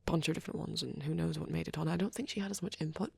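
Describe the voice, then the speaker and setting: A man doing a soft, often whispered ASMR roleplay as a shy, gentle young vampire boyfriend, rambling hesitantly in a breathy, husky voice. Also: on the high side, rapid-fire, no room sound.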